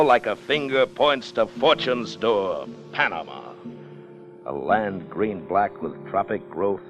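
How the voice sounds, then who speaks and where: An actor playing a ruthless, commanding ship's officer who plots mutiny for gold, in a forceful 1950s radio drama style. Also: male voice, low voice. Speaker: lead character and narrator. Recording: noisy vintage 1950s radio recording.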